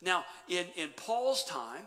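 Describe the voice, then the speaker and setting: A middle-aged man preaches from the stage of a church sanctuary, his voice bright and a little high. He moves between animated storytelling and quiet, intimate emphasis. The long church reverb trails after each phrase. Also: slow pace. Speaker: pastor. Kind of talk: sermon.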